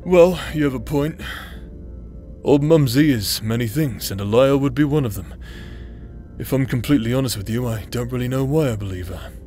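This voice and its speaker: A man's voice: mid-range voice, moderate pace, mellow, lightly acted. A man acting in an intimate audio roleplay as a teasing, roguish outcast brother who plays the villain but is secretly tender, with a hesitant, chuckling drawl. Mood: wry. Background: music.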